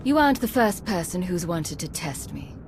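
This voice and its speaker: An actress playing a defiant, confident commander in a video game, firm and dry in manner. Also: female voice, on the low side, very animated, moderate pace, noisy background.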